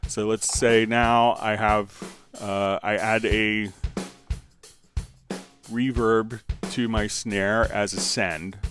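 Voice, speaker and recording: A man hosting a live software tutorial, explaining step by step and informally thinking aloud as he demonstrates. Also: lowish voice, little pitch movement, drawn-out words, soft background music. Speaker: software instructor and livestream host.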